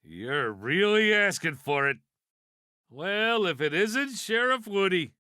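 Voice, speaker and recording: A man's voice: high voice, sing-song, slow, bright, forceful tone, no room sound, clean studio sound, exaggerated voice. A man voicing a wisecracking toy piggy bank in a video game, a brash know-it-all with a sardonic, folksy delivery. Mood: wry, casual. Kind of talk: video game character voice lines.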